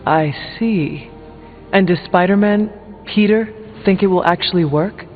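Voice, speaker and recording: An actor playing a serious, formal warrior hero in a video game, earnest and literal-minded. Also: male voice, high voice, a little slow. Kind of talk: video game dialogue acting. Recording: thin phone-like game audio, music.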